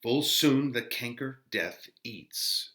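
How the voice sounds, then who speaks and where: A man playing a contemplative friar in a dramatic Shakespearean verse reading, slow, emphatic and declamatory. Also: mid-range voice, expressive, pushed and bright, pitched above the performer's own voice. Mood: declamatory, solemn. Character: contemplative friar musing alone on plants, virtue and vice.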